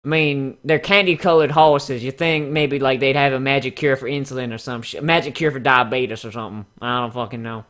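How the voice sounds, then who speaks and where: A man's voice: mid-range voice, fairly even intonation, a little fast, hardly any pauses, a bit bright. A man doing a sarcastic, profane read-aloud with casual commentary, mocking and theatrical. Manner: swears freely, mocking and theatrical. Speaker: host of a dramatic reading show.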